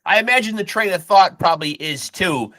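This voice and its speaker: A man hosts a boxing podcast in a laid-back, stammering style. His voice is bright and slightly high. The sound is close and dry, with no room echo. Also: animated, brisk. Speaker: podcast host. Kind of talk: casual interview.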